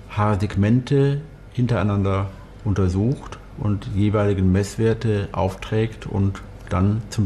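A male expert speaks in a low, dark, relaxed voice. He explains technical points carefully, as in an interview, with faint ambient noise around him. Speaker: toxicology professor, expert interviewee.

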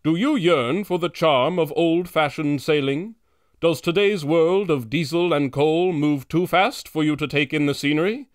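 An actor playing a grandiose announcer and pitchman for an old-time radio serial. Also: normal speed, no echo, studio quality, natural voice. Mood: salesmanlike. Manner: grandiose, dramatic.

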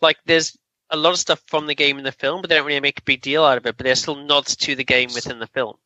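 A man's voice: on the high side, fairly even intonation, quick pace, pushed and bright, no echo, lo-fi sound. A man talking casually as a co-host on a film review podcast.

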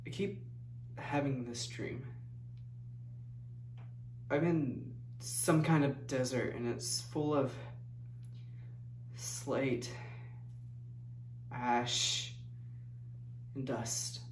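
A woman playing a plain-spoken, grieving figure, haunted and halting, in a dramatic stage monologue. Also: extremely low pitch, a little mellow, slight background noise, heavily acted. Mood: subdued, wistful. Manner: subdued, halting, folksy storytelling. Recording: echoey room, distant microphone.

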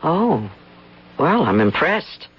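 An actress playing a proud, theatrical out-of-work actress in a 1970s radio drama. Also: female voice, low voice, sing-song, slow, slightly rough, full character voice. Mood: haughty. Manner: theatrical, dreamy, haughty. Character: proud out-of-work American actress, woman in her thirties. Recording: muffled old radio broadcast.

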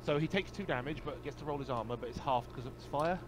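A man's voice: mid-range voice, fast talker, a crowd in the background. A man playing a tabletop game, casually explaining the rules step by step and repeating his phrases. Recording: clear background noise on voice call.

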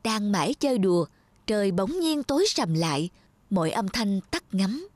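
A woman's voice: medium pitch, sing-song, normal speed, a little mellow, no room sound. A woman narrator reading a scripted fairy tale as storytelling. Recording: music behind the voice.

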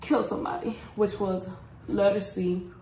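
A woman telling a story as a vlog co-host in casual, bantering conversation, quick to correct and interject. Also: mid-range voice, little pitch movement. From Puerto Rican family. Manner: informal, bantering, often correcting co-speaker. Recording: echoey room, clear background noise, clicks.

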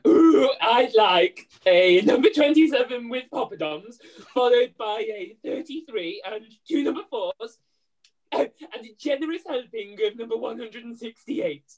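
A cheeky pantomime dame and hard-up mother, acted by a woman. The voice is high and husky, swinging widely in pitch with broad comic exclamations. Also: lively intonation, measured pace, a little mellow, low-quality recording. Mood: cheeky, delighted. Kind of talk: amateur pantomime script reading. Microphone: computer mic on video call.

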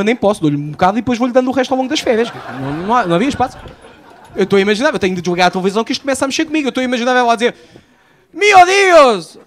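A man in his thirties with a European Portuguese accent, doing a live stand-up routine on a small outdoor stage at night. He tells animated stories into a handheld microphone and acts out the voices he quotes. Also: very high-pitched, slight background noise. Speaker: stand-up comedian.

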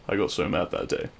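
A man playing a regretful, lovelorn supervillain in an audio roleplay, speaking in a hushed, halting, wistful way. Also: slight background noise, lightly acted. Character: regretful lovelorn male supervillain addressing his fallen hero. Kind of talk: scripted ASMR audio roleplay monologue.

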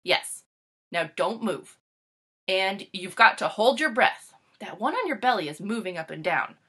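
An excitable storybook boy, played by a woman, bossy and full of schemes. The voice is bright and swings widely, with dramatic, emphatic declarations. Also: medium pitch, a little slow, breathy edge, exaggerated voice. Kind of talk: animated picture-book character reading.

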